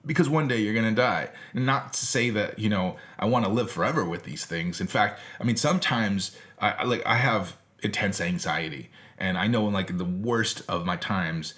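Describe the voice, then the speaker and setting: A man's voice: on the low side, normal speed, hardly any pauses, fairly bright tone. An American man giving an informal philosophy lecture in a conversational, emphatic way, as a teacher presenting to an audience he addresses directly.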